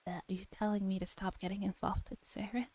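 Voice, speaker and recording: A woman streamer gently reading a visual novel aloud in a soft ASMR style, relaxed and chatting casually, at times dropping to a whisper. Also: mid-range voice, a little fast, a crowd in the background. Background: background music.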